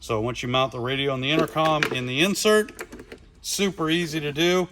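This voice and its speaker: A man's voice: a little high, a little fast, noisy background. A man presenting a casual, hands-on installation demonstration in plain, informal language, recorded in a garage workshop. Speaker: product installation presenter for a radio company.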